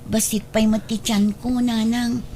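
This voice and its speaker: A woman's voice: fairly high pitch, level tone, measured pace, mellow, slight background noise. A young woman playing a meek, remorseful pregnant daughter pleading in a radio drama. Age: young adult.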